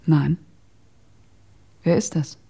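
An actress playing a guarded, insistent female witness in a German radio crime drama, insinuating and hushed. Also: low voice, steady tone, very slow, vocal fry, breathy edge, exaggerated voice.

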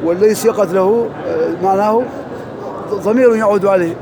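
A man teaching Arabic grammar in a mosque, drilling through examples quickly and reciting verses slowly. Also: sits high, creaky, crowd noise, low-quality recording. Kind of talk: lesson parsing grammar and verse.

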